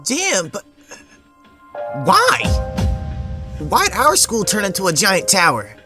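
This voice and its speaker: A man plays a brash teenage schoolboy in an audio drama. His delivery swings widely in pitch and takes its time, with an easygoing, boastful, slangy manner. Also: wildly swooping pitch, takes it slowly, over music, full character voice. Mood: baffled. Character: brash cocky teenage schoolboy, eager newcomer. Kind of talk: fan audio drama acting.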